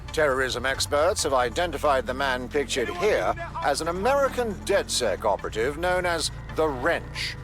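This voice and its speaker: An actor playing an earnest, alarmist TV news reporter in a video game, delivering a formal broadcast report. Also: male voice, medium pitch, lightly acted. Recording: music behind the news voice.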